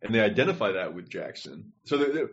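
A man's voice: fairly low pitch, very animated, fast, lo-fi sound. A man co-hosting a podcast, talking in a digressive, think-aloud way over a remote call. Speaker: podcast co-host. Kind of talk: casual discussion.

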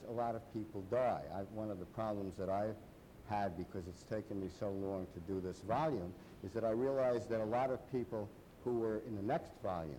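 An older man with a low, warm voice talks through his research in a measured, explanatory way. He speaks on a panel in a large hall, with a faint rumble underneath. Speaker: biographer on a panel. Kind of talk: panel discussion.

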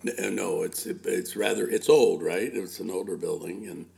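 An older man with a gravelly voice, chatting casually as an interviewer, repeating himself and dropping Spanish words into his English. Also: sits low, fairly even intonation, normal speed, a little mellow. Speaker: interviewer, host.

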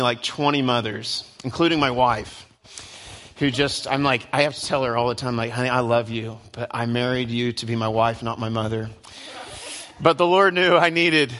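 Bright, chatty young man preaching. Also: medium pitch. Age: 20s. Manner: chatty, self-deprecating, jokes with the crowd. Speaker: guest preacher. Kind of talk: casual joking sermon to a youth service. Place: church hall before a live crowd.

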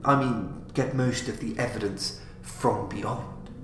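Gloating mad scientist, acted by a male reader, emphatic lecturing, swoops up in pitch, noisy roomy audio. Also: takes it slowly, close to an everyday voice. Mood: fervent lecturing. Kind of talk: audiobook character acting. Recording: roomy, clearly noisy home recording.

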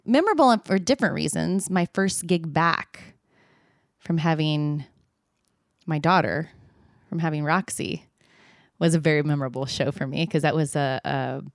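Low-voiced woman in her 30s, chatty and candid, smooth clear tone, dry close sound. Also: dry recording. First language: not Spanish; sings in Spanish. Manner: chatty, candid, laughs easily, many fillers. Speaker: singer and podcast co-host. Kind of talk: casual storytelling chat.

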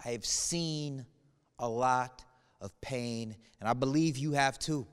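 A man's voice: mid-range voice, slow pace, clear, resonant tone. A man in his thirties from the United States, giving an earnest video message to a congregation, moving between playful humor and somber reflection. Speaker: church speaker. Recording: reverberant room.

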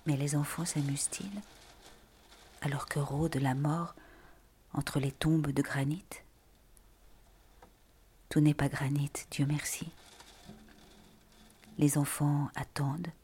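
Very breathy, whispery woman reading slowly. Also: low voice, little pitch movement, mellow. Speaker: reader of literary texts. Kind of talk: slow literary reading.

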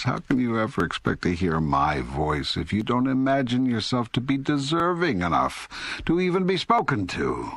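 An older man voicing a wry, patient, authoritative God in an audiobook dialogue, gravelly, deliberate and emphatic. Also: mid-range voice, fairly bright tone, dry recording, studio quality, heavily acted. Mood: emphatic, impassioned.

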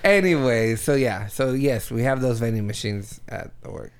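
A man's voice: mid-range voice, lively intonation, normal speed, clean tone. A man hosting a podcast, chatting casually and swearing often, with lots of "man" and "dude". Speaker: podcast host. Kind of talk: casual chat.

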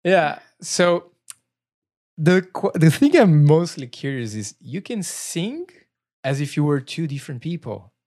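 A man with a Brazilian accent hosting a casual podcast interview, asking curious, halting questions. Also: fairly high pitch, very animated, darkish tone. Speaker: podcast host.

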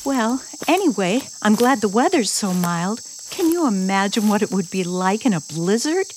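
An actress playing a naive, romantic, anxious newlywed bride in an old-time suspense radio drama, with gushing, halting speech. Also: female voice, medium pitch, big pitch swings, darkish tone, slight background noise, lightly acted, low-quality recording. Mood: chatty, flustered.

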